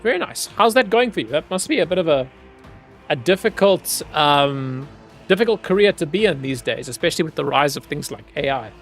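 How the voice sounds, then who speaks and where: Easygoing male game streamer, slightly high. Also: animated, brisk, a bit bright, soft background music. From Zimbabwe. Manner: easygoing, chatty, laughs often, talks to chat. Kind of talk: casual gameplay commentary.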